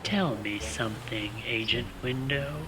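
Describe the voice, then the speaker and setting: Man as a slow-talking, exasperated spymaster, noisy recording. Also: mid-range voice, bright, forceful tone. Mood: scornful, dry. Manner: slow, deliberate, exasperated, sardonic. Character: stern, exasperated male spymaster, dry and commanding. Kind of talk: comic radio theater acting.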